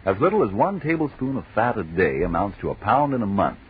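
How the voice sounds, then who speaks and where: Casting: American male vintage radio pitchman, low and animated, emphatic, muffled period sound. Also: a little fast, husky. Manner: polished, emphatic salesman delivery. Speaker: radio announcer. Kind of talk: 1940s radio advertising and banter. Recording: muffled, phone-like 1940s radio recording.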